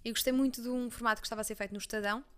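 A woman's voice: on the high side, clean tone, faint music behind. A young adult woman journalist with a Portuguese accent from Leiria, talking animatedly in an interview with frequent restarts. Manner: fast, animated, many restarts and fillers. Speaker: journalist guest. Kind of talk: conversational interview.

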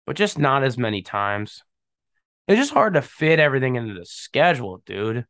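A man's voice: medium pitch, big pitch swings, normal speed, fairly bright tone, no room sound. A young man hosting a podcast, talking in a rambling, slangy way and laughing often, with a stuffed-up nose from a cold. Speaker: podcast host. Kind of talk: casual solo chat.

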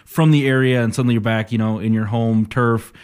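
A man's voice: mid-range voice, fairly even intonation, a little fast, darkish tone, clean tone. A man from Minnesota interviewing a guest on the radio, listening closely and asking questions in a casual way. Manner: attentive, frequent short backchannels.

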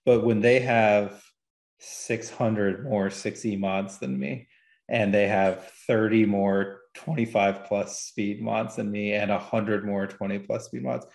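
Male podcast guest, lower register, casual and self-correcting, recorded in a reverberant room. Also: fairly even intonation, slow pace, vocal fry, a little mellow. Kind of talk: casual discussion of game statistics. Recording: roomy, echoey sound.

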